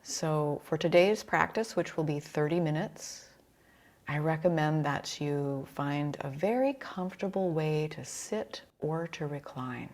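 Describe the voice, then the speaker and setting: A woman leading a guided relaxation as a yoga instructor, soothing and unhurried, with pauses between phrases. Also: sits low, a little mellow.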